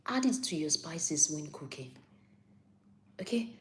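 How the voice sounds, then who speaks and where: Nigerian woman, young adult, low voice, live-stream health talk, chatty, repeats herself for emphasis. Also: big pitch swings, unhurried, a little hiss or hum. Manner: chatty, repeats points for emphasis, answers viewer comments.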